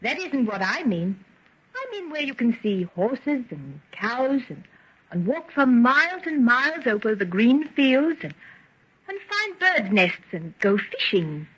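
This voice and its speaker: A woman's voice: on the high side, sing-song, unhurried, breathy edge, full character voice. Tender, lilting genteel young woman. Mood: wistful, fond. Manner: gentle, soothing, coaxing. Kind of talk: golden age radio drama acting. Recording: dull, muffled vintage radio recording.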